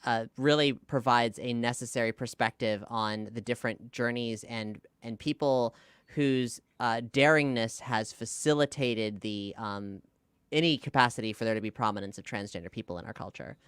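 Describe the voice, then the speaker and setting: A woman with a smooth, clear voice talks casually as an interview guest. Her delivery is informal and reflective, with thoughtful hesitations. The recording is close and dry. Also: rumbling low, normal speed, no echo. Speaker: podcast guest, audio drama creator.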